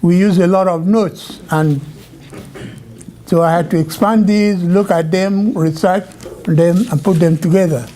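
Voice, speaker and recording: Older retired male teacher from Nigeria, high-pitched but mellow, hesitant remarks. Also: fairly even intonation, a little hiss or hum. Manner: halting, hesitant. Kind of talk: informal remarks to an audience.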